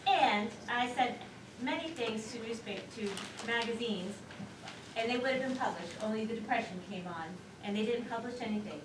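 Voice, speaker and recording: A woman's voice: a little high, normal speed, natural voice. A woman on stage playing a proud, chatty, self-important wife in a comedy, gushing and self-satisfied. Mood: defensive, proud. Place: theater stage, recorded from afar. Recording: echoey theater, distant mic, noisy.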